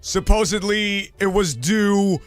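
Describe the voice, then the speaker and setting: A man chatting casually on a livestream, hoarse today, loud in his greetings and swearing freely. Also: high voice, slow pace, soft background music. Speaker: streamer.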